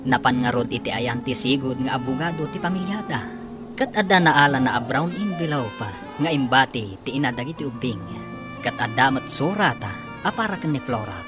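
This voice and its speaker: Low-voiced Filipino woman narrating radio drama. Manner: dramatic announcer delivery. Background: music.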